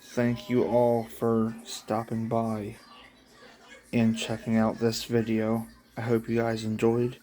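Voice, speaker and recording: Slow, mellow man reading aloud. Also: medium pitch, monotone. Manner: slow, offhand storytelling. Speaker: channel host reading his own story. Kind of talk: casual read-along narration.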